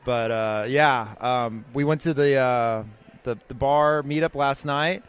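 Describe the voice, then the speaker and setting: A man with a hoarse voice, a guest podcaster chatting casually with wry stories. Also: medium pitch, slow. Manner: easygoing, wry storyteller. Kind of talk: casual interview chat.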